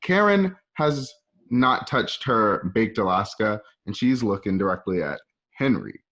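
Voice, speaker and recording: A man's voice: on the low side, sing-song, a little slow, fairly bright tone. A man narrating scene directions in a dramatic scripted reading. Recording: dull lo-fi home call audio.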